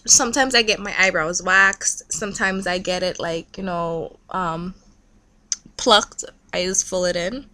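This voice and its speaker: A young woman from Trinidad talks straight to her viewers in a casual, confessional vlog. Her voice is bright and a little sharp, and she chats openly and rambles, full of "like" and "you know". Her tone stays loose and unscripted. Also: on the low side, measured pace. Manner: chatty, candid, heavy use of "like". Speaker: vlogger.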